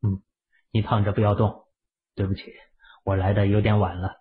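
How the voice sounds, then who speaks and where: A man voicing a calm, commanding young male healer hero in an audio drama, brisk and curt in manner. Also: lowish voice, phone-quality sound, lightly acted. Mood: reassuring.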